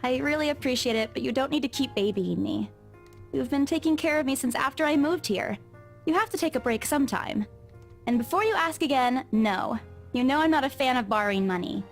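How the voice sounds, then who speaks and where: High-pitched young game heroine, proud n stubborn, earnest, gets defensive, faint music under it. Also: female voice, lively intonation, a little fast. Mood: earnest, firm. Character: proud, stubborn young working woman, caring friend. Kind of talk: visual novel game voice acting. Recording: music behind the voice.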